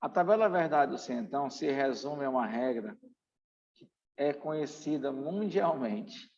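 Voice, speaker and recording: A man's voice: mid-range voice, expressive, normal speed, darkish tone. A Brazilian man giving an exam-prep lecture as a teacher, in a didactic, emphatic way that repeats key points. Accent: Brazilian Portuguese. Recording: dull, muffled, long room reverb.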